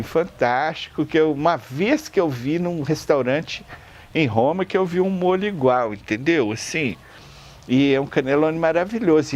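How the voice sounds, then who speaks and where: A Brazilian man enthusiastically recalling his grandmother's cooking in an interview, peppering his speech with fillers. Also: fairly high pitch. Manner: lively, enthusiastic, repeats "assim" and "entendeu". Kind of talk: reminiscing interview.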